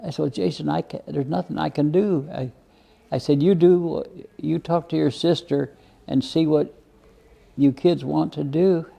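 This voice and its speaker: A man in his eighties or older telling personal stories as an interview guest, plain-spoken and halting, often repeating words. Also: on the high side, steady tone, vocal fry, dark tone. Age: over 80. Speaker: interview guest, retired minister. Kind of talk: reflective personal storytelling in an interview.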